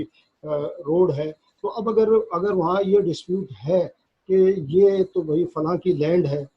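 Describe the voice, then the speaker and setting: A high-pitched, very husky male voice with a Pakistani accent, captured in a dull lo-fi recording. He teaches like a law lecturer, reading formal passages and then explaining them, halting often to check that listeners follow.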